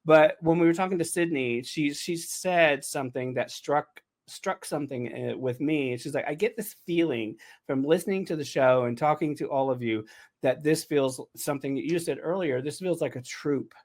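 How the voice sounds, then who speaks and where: A man chatting casually on a panel, telling stories in an animated way and acting out his own reactions. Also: a little high, normal speed. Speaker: panelist on a behind-the-scenes show. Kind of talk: casual chat.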